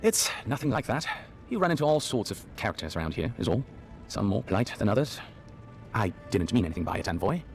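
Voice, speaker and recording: An actor playing a courteous but defensive town official in a video game. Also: male voice, lowish voice, sing-song, fast talker, faint music behind. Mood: guarded.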